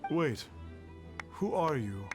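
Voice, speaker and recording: An actor playing a pompous, grandiose self-styled immortal master in a video game, speaking in stately, formal phrases. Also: male voice, on the high side, very animated, takes it slowly. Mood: lofty, self-satisfied. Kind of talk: theatrical video game acting. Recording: game music behind voice.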